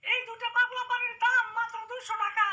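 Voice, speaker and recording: A woman's voice: very high-pitched, flat delivery, fast, sharp tone, highs cut off, slight background noise, exaggerated voice. A woman voicing an insistent, threatening cartoon sidekick who serves a fake holy man. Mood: selling. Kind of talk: cartoon character dialogue.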